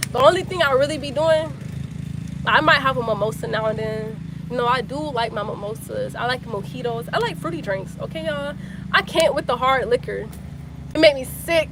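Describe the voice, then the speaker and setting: A woman's voice: a little high, a bit bright, a little hiss or hum. Chatty young woman on a phone. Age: 20s. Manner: chatty, repeats phrases, slangy. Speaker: livestreamer. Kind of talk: casual live chat with viewers.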